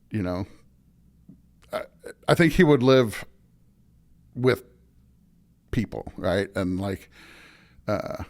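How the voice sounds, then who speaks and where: Gravelly American man, thoughtful and halting. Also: lowish voice. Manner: thoughtful, halting, searching for words. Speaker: pastor, podcast guest. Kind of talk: casual conversational interview.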